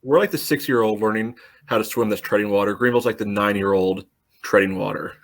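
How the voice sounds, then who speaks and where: Man, low voice, quick talker, wisecracking podcast co-host. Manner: wisecracking, teasing. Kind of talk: casual sports podcast chat.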